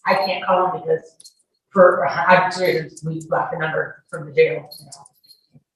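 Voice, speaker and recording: A woman's voice: sits low, fairly even intonation, a little slow. A woman testifying as a witness in a courtroom in an informal, rambling, colloquial way. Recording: echoey courtroom, distant mic, faint noise.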